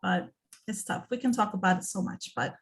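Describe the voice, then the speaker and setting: A woman moderating a panel, chatting casually with quick asides. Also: medium pitch.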